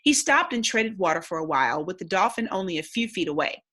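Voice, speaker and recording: A woman's voice: on the low side, sing-song, fast, a bit bright. A woman reading a storybook aloud expressively, in the instructive, simple manner of a teacher with young listeners.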